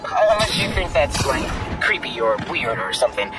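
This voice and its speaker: A man's voice: mid-range voice, sing-song, moderate pace, loud music behind the voice, close to an everyday voice. A man voicing an awkward, nervous teenage boy in a video game, hesitant and apologetic.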